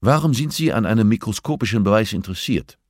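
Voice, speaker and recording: A man playing a composed, superior male professor in an audiobook, deliberate and probing in manner. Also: fairly low pitch, animated, darkish tone, no room sound, clean studio sound, pitched above the performer's own voice. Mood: calm probing.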